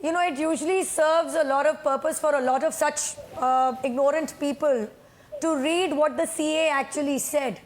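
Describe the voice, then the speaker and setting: A woman speaking as a panelist in a heated TV news debate, insistent and pushing through interruptions, her voice going hoarse. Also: high-pitched, steady tone, normal speed. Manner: insistent, talks over interruptions. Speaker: political panelist.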